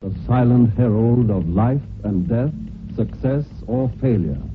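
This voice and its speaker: A man's voice: medium pitch, expressive, a little slow, background noise. A man giving solemn, portentous old-time radio narration as a programme announcer. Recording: tinny, narrow-band old radio recording. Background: music.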